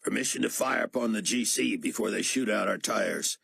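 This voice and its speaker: Man voicing a cocky male pilot, husky, joking. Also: on the low side, moderate pace, fairly bright tone, dry recording, pitched above the performer's own voice, heavily acted. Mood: cocky, teasing.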